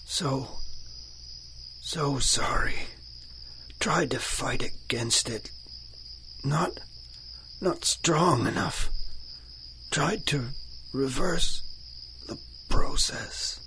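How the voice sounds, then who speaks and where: Dying former monster for radio serial: extremely slow, faint broken phrases, full of remorse, noisy background. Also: male voice, medium pitch, creaky, bright, forceful tone, heavily acted. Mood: dying, remorseful. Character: dying, remorseful man freed from monster form. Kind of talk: 1930s-style adventure radio acting.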